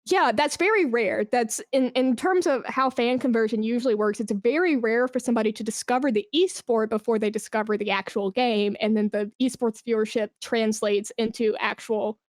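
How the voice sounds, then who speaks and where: High-voiced woman chatting casually. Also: moderate pace, few pauses, dry recording, studio quality. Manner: animated, self-deprecating, slangy. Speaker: podcast guest, esports brand manager. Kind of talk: casual chatty podcast interview.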